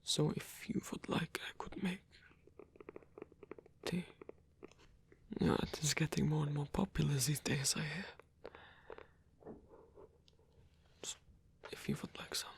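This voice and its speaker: A man playing a lonely, tender old vampire with a German accent in an ASMR roleplay, speaking softly and haltingly with formal phrasing. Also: on the high side. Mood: tender, fond. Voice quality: breathy, husky. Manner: hesitant, halting, formal phrasing, soft-spoken.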